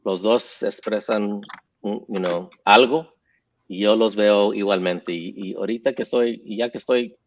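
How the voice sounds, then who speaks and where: A man speaks slowly and haltingly, pausing often and dropping English fillers as he talks. His voice sits on the low side. The sound is dull and dry, like a lo-fi mic with no room around it. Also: steady tone, no room sound. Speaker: illustrator panelist. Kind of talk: casual panel chat.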